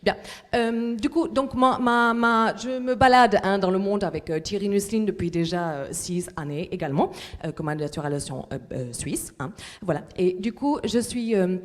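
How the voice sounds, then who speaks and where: A woman's voice: mid-range voice, moderate pace, natural voice. A woman playing a self-important international book observer in a live comic sketch, hesitant and full of fillers. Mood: rambling. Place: large hall at a library event. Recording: echoey hall recording.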